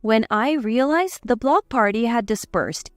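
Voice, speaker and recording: Female narrator for scripted family drama, high smooth voice, dry close sound over music. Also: lively intonation, unhurried, no echo. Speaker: story narrator. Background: background music.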